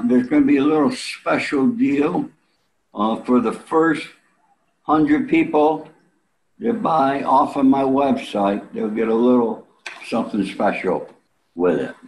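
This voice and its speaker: An elderly man talking casually and bluntly as an interview guest, salty and pausing often, on a video call from a room at home. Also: mid-range voice, slight background noise, rough recording. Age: 70s or older. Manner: blunt, salty, with frequent pauses.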